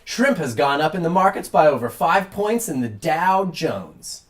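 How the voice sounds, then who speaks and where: A man's voice: fairly high pitch, big pitch swings, measured pace. A man hosting a late-night comedy talk show, reading mock news in a dry, mock-serious way and interviewing a guest.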